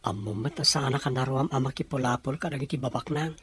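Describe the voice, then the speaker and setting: A man's voice: medium pitch, fast, a bit bright, slight background noise, natural voice. A man playing a shy, humble young farmer in love, speaking earnestly and respectfully with a Filipino Ilocano accent. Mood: bashful. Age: young adult. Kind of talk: radio drama dialogue.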